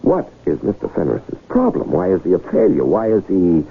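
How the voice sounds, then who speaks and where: An actor playing a smooth-talking, goading male swindler in 1970s radio drama style, rhetorical and needling, with dramatic pauses. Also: low-pitched, huge pitch swings, slight background noise, full character voice. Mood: mocking, lecturing. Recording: muffled 1970s radio broadcast recording.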